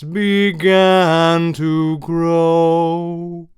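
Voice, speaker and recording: A man voicing an obedient, brooding undead servant in a drawn-out theatrical way. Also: a little high, level tone, clean tone, no room sound, studio quality. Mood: servile gloom.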